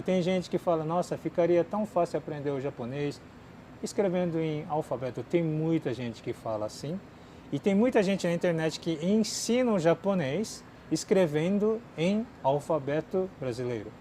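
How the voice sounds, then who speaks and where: A Japanese-born man speaking as a second language, casually answering viewer questions with lots of fillers, swinging from lively to quiet mumbling. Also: a little high, normal speed, slight background noise. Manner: chatty, many fillers, drifts between lively and mumbling. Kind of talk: casual chat. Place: outdoors in a city.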